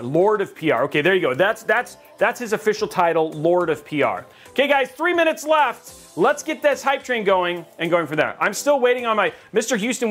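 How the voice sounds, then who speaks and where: A man's voice: fairly high pitch, fairly bright tone. A man from Texas hosting a casual livestream, reading chat and joking with viewers, rambling and restarting his sentences.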